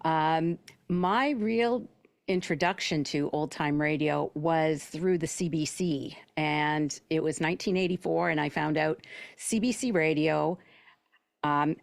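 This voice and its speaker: A Canadian woman with a very low, gravelly voice talks casually about her own experiences. She has a wry, joking way of putting things, and the sound is close and dry. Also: unhurried, no echo. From Canada, Ontario. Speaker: panelist. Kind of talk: casual personal recollection.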